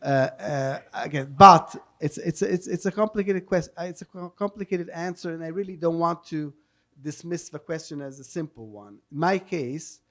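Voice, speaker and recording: A man's voice: a little high, moderate pace, fairly bright tone. A man moderating a public panel, explaining and translating off the cuff, carefully and with repeated self-corrections. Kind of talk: spontaneous panel answers and spoken translation. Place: live public event with audience questions.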